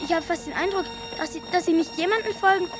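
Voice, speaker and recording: A woman playing an excited boy adventurer on lookout, earnest and dramatic, in a radio play. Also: very high voice, fast. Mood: watchful. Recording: music behind the voice.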